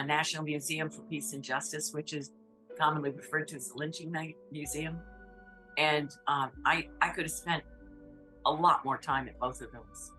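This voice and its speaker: Low bright-toned woman, candid and kinda halting, music under. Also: rough recording. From New Hampshire, USA. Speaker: interviewee, photographer. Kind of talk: reflective testimony.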